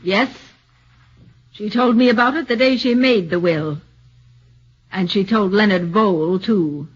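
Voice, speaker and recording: An actress playing a firm, disapproving long-serving housemaid as a witness in a 1940s radio drama. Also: female voice, mid-range voice, a little slow, husky. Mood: firm, indignant. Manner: firm, indignant. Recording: dull 1940s radio recording.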